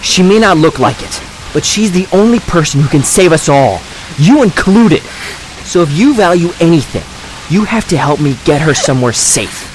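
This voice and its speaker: A man's voice: fairly high pitch, lively intonation, normal speed, close to an everyday voice, poor audio. A man acting a stern, protective teenage boy in an audio drama, intense and commanding. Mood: stern, urgent. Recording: clear hiss behind the voice.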